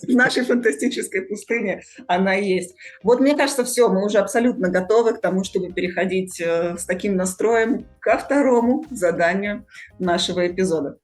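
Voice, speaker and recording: Female podcast host, conversational and explanatory, hesitant phrasing, average pitch, music bed beneath. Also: a little fast. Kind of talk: casual discussion, explaining ideas.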